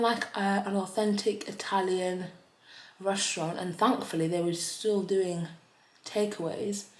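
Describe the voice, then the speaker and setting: A woman, chatting in a casual vlog while doing her makeup. She rambles with lots of fillers and gets animated when she talks about something that surprised her. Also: mid-range voice, echoey room. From Leeds, northern England. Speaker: vlogger.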